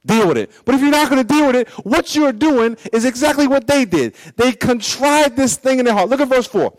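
A pastor in his fifties with a high voice, preaching bluntly and with humor. His pitch swings up and down and his pace jumps between slow and fast. The sound is dry and close, with little room around it. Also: expressive, no echo, rough recording. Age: 50s. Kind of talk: sermon.

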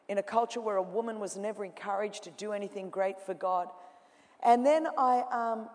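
A woman preaching to a congregation, her voice ringing through a large auditorium with a long reverb. She moves from loud, lively imitation to quiet, intense confession. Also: fairly high pitch. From Australia. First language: Greek. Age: 40s. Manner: dramatic, swings from loud mimicking to hushed intensity. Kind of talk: sermon.

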